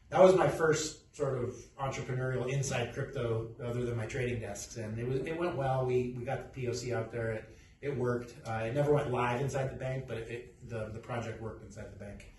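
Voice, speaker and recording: A middle-aged man from Peru, a company CEO, introducing his background in a halting, casual way with many restarts. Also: medium pitch, a little mellow. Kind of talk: casual self-introduction talk. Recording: echoey room, distant mic, faint noise.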